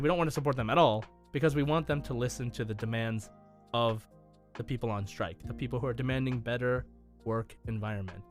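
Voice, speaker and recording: A man hosting a podcast in a casual, conversational style, explaining things as he goes. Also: mid-range voice. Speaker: podcast host.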